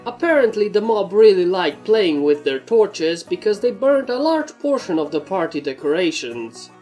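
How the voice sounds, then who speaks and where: A woman's voice: mid-range voice, big pitch swings, normal speed, dark tone, faint music behind. A woman narrating a scripted story in the first person. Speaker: fan fiction narrator.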